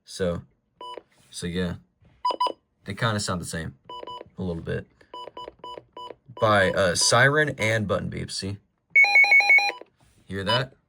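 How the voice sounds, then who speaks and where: Low-voiced man, rambling casual show-and-tell. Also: slow, creaky, long pauses, heavy background noise. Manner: rambling, informal, opinionated. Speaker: collector presenting a weather radio collection.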